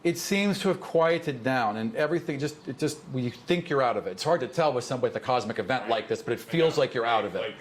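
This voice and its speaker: A man's voice: medium pitch, expressive, quick pace, few pauses. A man improvising vivid, conversational narration as game master of a tabletop game. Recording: clear background noise.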